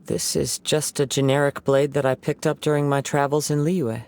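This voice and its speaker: A man playing a calm, poetic young wandering swordsman in an anime game, soft-spoken and measured. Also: on the high side, creaky, a little hiss or hum. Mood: solemn, firm.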